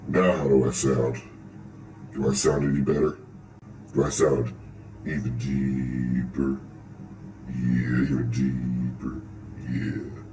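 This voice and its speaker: A man using a voice changer to play a boastful, ultra-deep version of himself, bragging crudely. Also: flat delivery, takes it slowly, pauses often. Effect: voice changer lowering the voice. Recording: roomy distant sound with faint noise.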